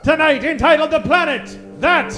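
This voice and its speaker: A man announces in a very high-pitched, declamatory voice, like the host of an old-time radio serial. His delivery is grandiose and mock-dramatic, every phrase staged as a theatrical introduction. Music plays behind him. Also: normal speed.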